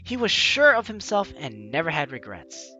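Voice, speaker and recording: A man's voice: a little high, wildly swooping pitch, normal speed, sharp tone, faint music behind. A man reading a story game aloud as a let's player, with dramatic narration and casual asides. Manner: dramatic reading, slipping into offhand comments.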